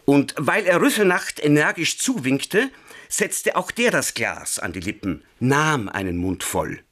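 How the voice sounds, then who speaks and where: Slow, swooping wry storyteller. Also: male voice, a little high, sing-song, fairly bright tone, full character voice. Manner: deliberate, relishing, clipped phrases. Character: wry, savouring male narrator of a crime tale. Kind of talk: scripted first-person radio drama narration.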